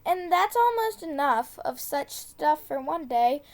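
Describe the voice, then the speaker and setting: A high-pitched woman reads a children's tongue-twister storybook aloud. Her pace is slow and deliberate, and she steps carefully through the tricky words, correcting herself when she trips. Casual asides slip in between the rhymes. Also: big pitch swings. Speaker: storybook reader.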